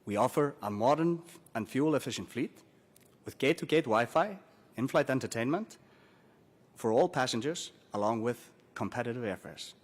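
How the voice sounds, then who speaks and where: A man's voice: medium pitch, animated, normal speed. Man delivering a prepared corporate announcement. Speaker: airline chief commercial officer.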